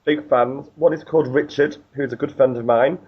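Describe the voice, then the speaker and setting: A man's voice: medium pitch, fairly even intonation, moderate pace, slightly rough, lo-fi sound. A man hosting a radio interview, asking questions and agreeing easily, often ending with tag questions. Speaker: radio host.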